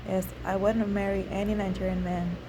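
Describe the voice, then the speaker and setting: A woman whose native language is Igbo narrates a scripted first-person memoir, reading in a halting way with occasional slips. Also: medium pitch, flat delivery, background noise. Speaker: memoir author reading own story.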